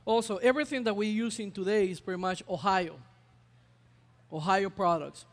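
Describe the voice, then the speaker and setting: Brief: high-pitched man, chef demoing on stage, chatty and halting, long hall reverb. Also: fairly even intonation. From Ecuador. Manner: chatty, hesitant with many uh fillers. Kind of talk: live cooking demonstration.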